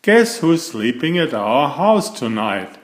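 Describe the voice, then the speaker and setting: Man as a kindly, expressive storybook mother turtle. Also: mid-range voice, slow. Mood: teasing. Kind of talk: children's storybook character voice. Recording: echoey room.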